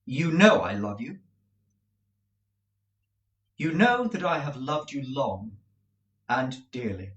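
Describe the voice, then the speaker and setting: A man's voice: medium pitch, unhurried, distant mic, highs cut off, pitched above the performer's own voice, lightly acted. A man reading aloud as an earnest young man who pleads in a trembling, devoted way. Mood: pleading. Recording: roomy echo.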